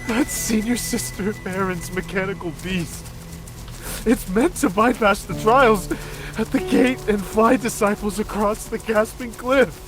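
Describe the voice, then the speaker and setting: An actor playing an anxious, easily flustered young sect disciple in a video game, with a hesitant, whiny delivery. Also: male voice, sits high, unhurried. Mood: worried. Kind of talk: dramatic game cutscene acting. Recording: music behind.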